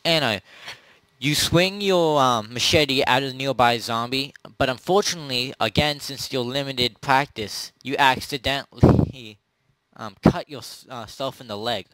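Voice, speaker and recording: Guy game mastering a zombie rpg, medium pitch, kinda halting narration, dry sound. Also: fairly bright tone, no room sound, low-quality recording. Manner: halting, pieces scenes together as he goes. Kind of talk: improvised narration of a tabletop zombie role-play.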